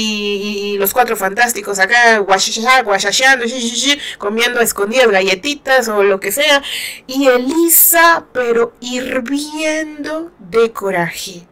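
Female book commentator, northern Mexican accent, fairly high voice, casual and playful, talking straight to listeners. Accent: northern Mexican Spanish. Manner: playful, animated retelling with asides to listeners. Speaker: book commentator, channel host. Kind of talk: casual chatty commentary on a novel.

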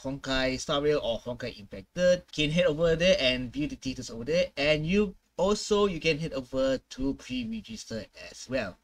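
High-voiced young man, excitable reaction host. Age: young adult. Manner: excitable, slangy. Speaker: gaming reaction video host. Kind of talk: casual reaction commentary. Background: game trailer music.

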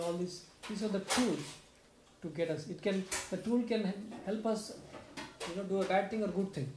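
A young man sums up a devotional group meeting at home, explaining earnestly and often asking "right?" into a stand mic. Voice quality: soft low speaking voice. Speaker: speaker summing up a satsang. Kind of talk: devotional talk to a small group. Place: home room with shrine, indoors. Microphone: stand mic in front, filmed by far camera. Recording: roomy echo with clear background noise.

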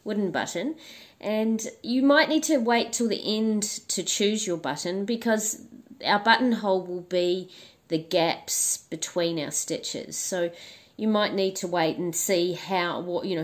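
A woman hosting a crochet tutorial, her voice husky and hoarse from the flu, chatting casually with frequent ums and restarts. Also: fairly low pitch, unhurried. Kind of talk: casual instructional talk.

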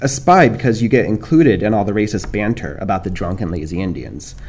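American man, middle-aged, lowish voice; measured lectern talk read from notes. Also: expressive, darkish tone, slightly rough. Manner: measured, reads from script with small restarts. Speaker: panel speaker. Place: dark lecture hall, standing at a lectern. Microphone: lectern microphone.

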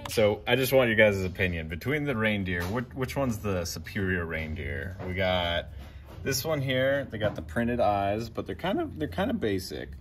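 A man's voice: fairly low pitch, big pitch swings, normal speed, slight background noise. A young man with a Midwestern American accent, chatting enthusiastically as a vlog co-host while browsing a toy store, filmed on a handheld phone. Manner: chatty, enthusiastic, laughs often. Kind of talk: casual shopping vlog chat.

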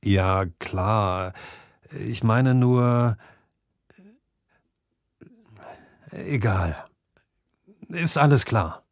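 A man acts out a village master craftsman in a fantasy audiobook. He is grudging and easily cowed, talking colloquially in a lowish voice, recorded dry. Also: expressive, drawn-out words, dry recording, heavily acted.

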